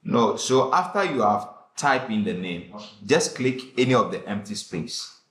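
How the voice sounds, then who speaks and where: A man teaching a classroom software tutorial, giving direct instructions and repeating short commands. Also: very animated, measured pace, distant mic. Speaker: design instructor. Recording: echoey room with faint rumble.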